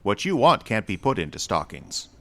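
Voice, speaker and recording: Deep-voiced, gentle old Santa figure. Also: male voice, very animated, moderate pace, vocal fry, heavily acted. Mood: gentle, knowing. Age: older. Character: weary, gentle old Santa-like man, melancholy and kindly. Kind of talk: radio drama acting.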